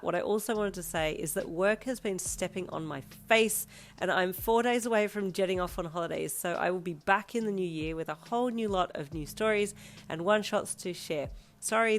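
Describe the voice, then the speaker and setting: A woman with a deeper voice reads fanfiction in a casual, chatty, tomboyish way. Her voice sits low for a woman. Faint background music runs under her. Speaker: fanfiction reader. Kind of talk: audio fanfiction narration.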